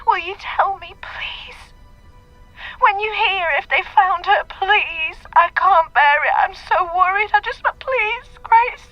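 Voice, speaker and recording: Radio drama friend of missing wife: high, bright voice, emotional, halting, slightly dull phone-like sound. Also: female voice, no room sound, faint music behind. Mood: distraught, pleading. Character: anguished, pleading woman, loyal friend of a missing wife. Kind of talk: naturalistic radio drama acting.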